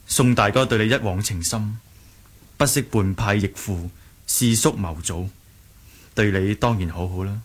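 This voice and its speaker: Earnest young hero, low husky voice. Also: male voice, unhurried. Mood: jealous, sulky. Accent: Hong Kong Cantonese. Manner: earnest, emphatic pleading. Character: earnest young martial-arts hero, devoted but defensive fiancé. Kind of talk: Cantonese radio drama acting.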